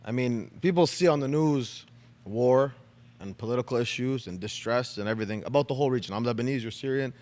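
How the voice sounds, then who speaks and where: A Canadian man hosts a podcast chat, eager and casual, winding through long questions and restarting sentences. His voice sits at an ordinary middle pitch. The room adds a noticeable lingering reverb. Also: brisk, darkish tone. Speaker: podcast host.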